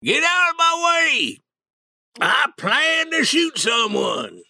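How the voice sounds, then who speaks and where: A man voicing a drunk, rowdy old man who bellows threats in a high, strained voice. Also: very animated, slow, gravelly, bright, forceful tone, exaggerated voice. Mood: raging.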